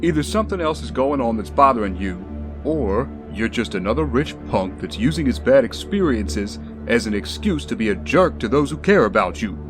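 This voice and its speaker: Man as former bodyguard, medium-pitched male voice, blunt, firm, reproving, protective, audio drama, prominent music underneath. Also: lively intonation, moderate pace, natural voice. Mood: frank, challenging. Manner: firm, plain-spoken, reproving.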